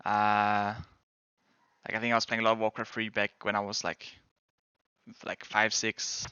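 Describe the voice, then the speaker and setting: A man's voice: fairly low pitch, completely flat delivery, normal speed, bright, forceful tone, clean tone, no room sound, lo-fi sound. A young man from Denmark with a Danish accent, streaming and chatting casually with viewers in a rambling, hedging way. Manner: rambling, hedging, self-correcting. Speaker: game streamer and pro player. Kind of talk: casual chat answering viewers.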